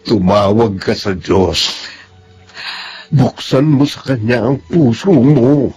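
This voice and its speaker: An actor playing a stern but caring, devout Filipino uncle in a radio melodrama, speaking earnestly. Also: male voice, medium pitch, darkish tone, slightly rough, highs cut off, soft background music. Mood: consoling, earnest. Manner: earnest, measured counsel.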